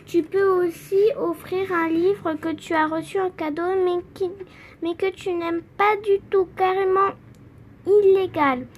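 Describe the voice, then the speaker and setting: Childlike girlish female voice, very high, slow halting read-aloud, playful emphasis, faint background noise. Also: fairly even intonation. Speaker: young reader reading a picture book aloud. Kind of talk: reading a children's book aloud with asides.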